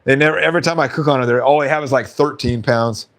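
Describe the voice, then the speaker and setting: A man hosting a casual live stream, chatting and reading out viewer comments with frequent laughs, his voice slightly hoarse. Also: mid-range voice, fast talker, fairly bright tone.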